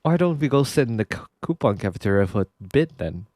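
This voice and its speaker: A man's voice: medium pitch, big pitch swings, quick pace, a little mellow, no echo, natural voice. A man with a nasal voice reading the lines of a boastful, friendly young male prison inmate from a game. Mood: chummy boastful. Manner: boastful, chummy, showing off.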